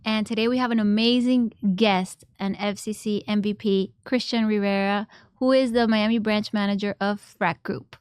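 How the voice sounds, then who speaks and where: Clear, smooth-voiced female podcast host. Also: mid-range voice, steady tone, normal speed, no echo, clean studio sound. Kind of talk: conversational podcast interview.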